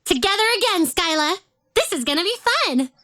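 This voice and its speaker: Young female game trainer, high voice, spirited, short scripted battle lines. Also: animated, fairly quick, pushed and bright, exaggerated voice. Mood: bright, showy.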